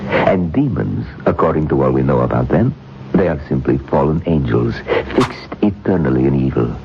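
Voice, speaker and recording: An actor playing an arrogant, vengeful occult scholar in a radio drama, with theatrical, formal diction. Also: male voice, rumbling low, sing-song, moderate pace, creaky, soft background music, full character voice. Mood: solemn, ominous. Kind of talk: 1970s radio drama acting. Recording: dull old radio recording with faint noise.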